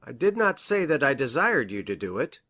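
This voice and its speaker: A woman acts out a grave gentleman doctor from a detective storybook. He speaks formally and precisely, in measured sentences, with a lively rise and fall in pitch. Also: exaggerated voice. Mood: formal, earnest. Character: grave, hesitant male country doctor, troubled witness. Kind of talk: audiobook character reading.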